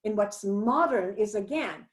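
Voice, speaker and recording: A woman professor with a Brazilian accent talks through a text on a video call. She explains at length, often pausing on fillers and restarting her sentences. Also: medium pitch, expressive. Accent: Brazilian Portuguese. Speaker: professor leading a book discussion. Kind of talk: online book discussion lecture.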